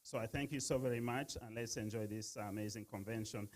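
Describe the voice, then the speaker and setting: A man from Uganda giving a halting speech at a gala, full of fillers. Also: lowish voice, darkish tone. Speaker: speaker at a gala. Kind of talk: casual speech to an audience.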